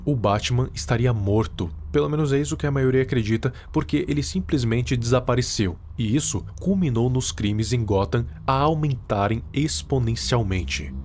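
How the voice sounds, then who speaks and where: A Brazilian man narrates in a scripted video-essay style, slipping into casual phrasing as he goes. His voice sits at a middle pitch, and there is faint noise behind it. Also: a little mellow.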